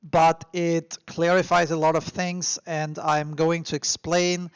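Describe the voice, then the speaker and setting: Male podcast host, Austrian accent, fairly high creaky voice, dry close sound; emphatic, encouraging advice-giver. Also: little pitch movement, a little slow, dry recording. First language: German. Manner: emphatic, encouraging, with informal repetition. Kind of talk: motivational advice monologue to listeners.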